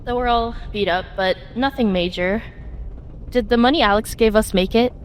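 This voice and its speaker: A woman's voice: on the high side, moderate pace, slight background noise, natural voice. A young woman voicing a worried, take-charge leader of a group on the run in a webtoon fan dub. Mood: grim, focused.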